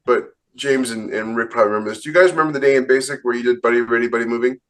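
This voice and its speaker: A man's voice: mid-range voice, fairly even intonation, racing, a bit bright. A man co-hosting a podcast, chatting casually in a matter-of-fact, opinionated way. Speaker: podcast co-host. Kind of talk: casual group podcast chat.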